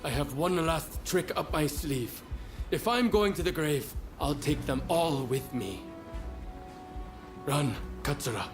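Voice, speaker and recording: A man's voice: a little high, animated, takes it slowly, music in the background. An actor playing a proud, resolute samurai man in a game cutscene, speaking with formal weight. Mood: defiant, resolute. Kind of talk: dramatic game cutscene acting.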